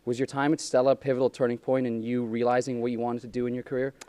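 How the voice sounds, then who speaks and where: A man's voice: medium pitch, flat delivery, quick pace, mellow, smooth. A young man hosting a college TV interview, formal and slightly stiff, partly reading a script. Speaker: student host.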